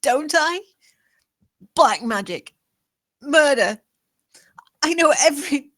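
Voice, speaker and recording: Defiant woman acted by an actress, highish voice, threatening, distraught, intense, dry recording, table read. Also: lively intonation, slow pace, a bit bright, no echo, heavily acted. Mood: confused, accusing. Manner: intense, challenging.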